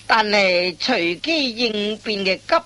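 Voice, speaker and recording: A woman playing a wily veteran master thief in a comic radio play, instructing in drawn-out phrases full of interjections. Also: medium pitch, bright, forceful tone. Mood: self-satisfied, instructive.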